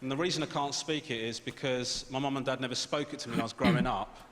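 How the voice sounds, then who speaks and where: A man with a British accent doing a stand-up comedy set on a theatre stage, telling stories in a dry, deadpan way. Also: medium pitch, quick pace, a little hiss or hum. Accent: British English. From Sri Lankan heritage. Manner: dry, deadpan storytelling with lively swings. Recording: roomy theatre with loud audience laughter. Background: audience laughing.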